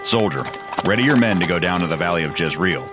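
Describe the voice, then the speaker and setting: A man's voice: low-pitched, animated, quick pace, music in the background. A man playing a loyal, devout army commander in a biblical radio drama. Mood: resolute.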